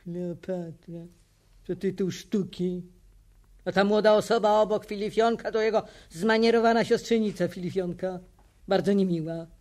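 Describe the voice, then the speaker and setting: An actress playing a proud, bossy old theatre widow in a radio play, grumbling and emphatic. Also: female voice, mid-range voice, steady tone, normal speed, darkish tone, natural voice. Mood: dry. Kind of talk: Polish radio play acting.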